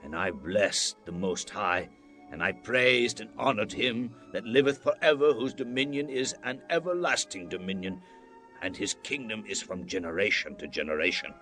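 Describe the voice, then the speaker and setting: A man's voice: fairly low pitch, moderate pace, fairly bright tone, soft background music, lightly acted. An actor playing a proud, commanding Babylonian king in a solemn scripture dramatization, declaiming in a grand, stately way. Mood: reverent.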